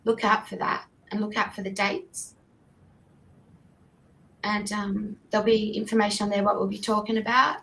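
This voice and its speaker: Chatty woman host, gravelly voice. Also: mid-range voice, level tone, normal speed, long pauses, a bit bright, slight background noise, rough recording. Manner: chatty, apologetic, trips over her words. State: losing her voice. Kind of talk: casual live-stream chat.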